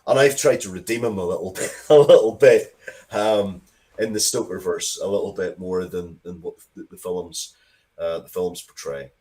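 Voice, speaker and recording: A man's voice: sits low, moderate pace, darkish tone. A man speaking as an interview guest, telling stories in an emphatic, rambling way with frequent restarts. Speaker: guest, writer of Dracula media. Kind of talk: animated interview talk, storytelling.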